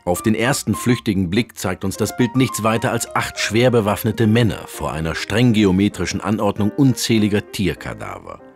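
A solemnly rapturous male art critic for radio comedy. The voice is low and the delivery pompous, florid and carefully scripted, like documentary narration. Faint music plays behind him. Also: lively intonation, moderate pace, creaky, few pauses. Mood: solemn, reverent.

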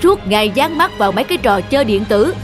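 Strict worried cartoon mom, kinda high voice, music under it. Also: female voice, very animated, fast. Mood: stern, disappointed. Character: stern, worried mother scolding her son. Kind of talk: Vietnamese cartoon dubbing.

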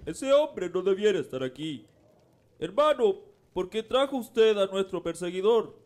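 A man's voice: sits high, very animated, a little slow, darkish tone. A man playing an indignant, distrustful early church leader in an amateur religious radio drama, accusing and agitated.

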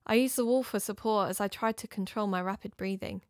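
Female investigator-narrator played by an actress: uneasy, confiding, scripted first-person drama, mid pitch, close dry recording. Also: steady tone, fairly quick, no echo, natural voice. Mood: uneasy, reflective.

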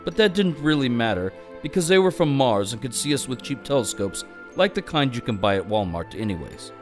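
A man reading scripted mock-storybook narration with deadpan comic delivery. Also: mid-range voice, fairly quick, faint music behind.

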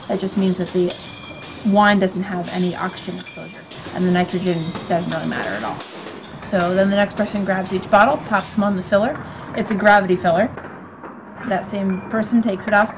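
A woman in her thirties explains a work process step by step, as a worker would. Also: mid-range voice. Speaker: winery worker. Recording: clearly noisy amateur video sound.

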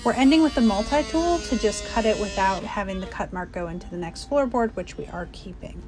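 A woman's voice: medium pitch, a little fast. A woman narrating a renovation vlog in a casual, conversational, explanatory way. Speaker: host narrator. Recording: background music under voice-over.

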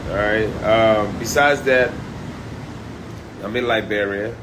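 A man livestreaming from a high-rise room by the beach on a phone at arm's length, talking loud, profane and animated. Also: takes it slowly, noisy background. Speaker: comedian livestreaming. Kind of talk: casual live stream chat. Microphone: phone held at arm's length.

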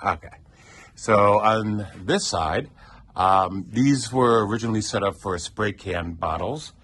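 A man's voice: lowish voice, slow pace, a bit bright, a little hiss or hum. A middle-aged man giving a casual, rambling tour of his prop box in a theater prop shop, listing tools with many ums and uhs. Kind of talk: casual show-and-tell tour.

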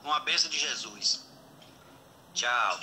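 Man, very bright tinny tone, casual voice message, phone speaker playback, faint noise. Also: mid-range voice, lively intonation, slow pace. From Bahia, Brazil. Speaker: customer giving a testimonial. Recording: voice note replayed through phone speaker.

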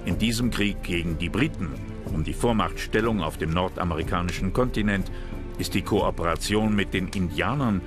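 A man narrating a historical documentary from a script in a measured, serious manner. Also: sits low. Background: background music.

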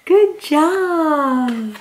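A woman in her thirties reading a picture book aloud to young children in an excited, encouraging, exaggerated way. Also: high-pitched, very slow. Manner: exaggerated, sing-song animal noises and questions. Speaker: children's read-aloud host. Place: indoor room with plain wall.

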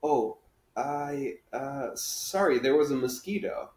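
A man's voice: mid-range voice, expressive, slow pace, a little mellow. A man reading a children's book aloud as an earnest, awkward young schoolgirl, with hesitant delivery. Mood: awkward, concerned. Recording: roomy living-room echo.

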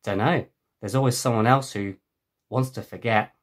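A man's voice: medium pitch, moderate pace, close to an everyday voice. A man voicing a cheeky, playful boy adventurer in a storybook, bantering and teasing. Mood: curious.

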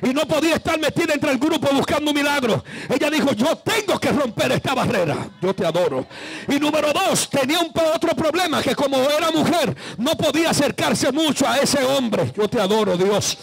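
A man preaching a fervent Pentecostal sermon in a gravelly, strained voice, impassioned and exclamatory, repeating phrases for emphasis. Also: extremely high pitch, expressive, brisk, rough recording. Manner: breaks into speaking in tongues and calls on listeners to respond.

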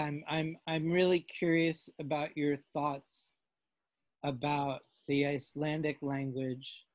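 A man leading a casual class discussion over a video call, halting and full of restarts. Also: a little high, no room sound. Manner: halting, many fillers and restarts.

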